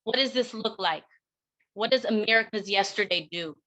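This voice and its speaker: A woman delivering a keynote speech in a deliberate, emphatic manner, breaking phrases with dramatic pauses. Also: mid-range voice, pushed and bright. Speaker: keynote speaker, counseling clinician.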